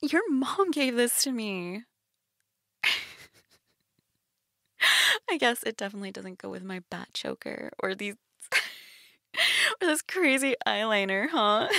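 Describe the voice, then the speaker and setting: A woman's voice: on the high side, expressive, slow, long pauses, bright, forceful tone, dry recording, studio quality, exaggerated voice. A young woman playing a shy, teasing goth stepsister in an intimate audio role-play, casual, hesitant and giggly. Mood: giggly, flustered.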